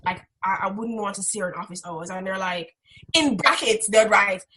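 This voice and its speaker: A woman's voice: mid-range voice, normal speed, a bit bright. A young woman speaking candidly and emphatically in a reflective interview. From Caribbean islands. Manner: strident, emphatic. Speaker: interviewee, graduate student instructor. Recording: background noise with clicks.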